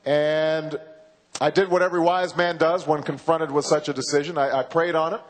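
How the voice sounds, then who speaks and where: A man in his forties giving a campaign speech, slow and halting with frequent pauses and repeated words. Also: a little high, steady tone. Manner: halting, measured, with many uhs and repeated words. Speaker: political candidate. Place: large university hall. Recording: reverberant hall from a PA.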